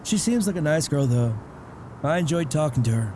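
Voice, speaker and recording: A man's voice: a little high, lively intonation, brisk, noisy background, lightly acted. A man playing a blunt, nosy but caring father in a comic dub, probing and scolding. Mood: teasing. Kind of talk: amateur comic dub voice acting.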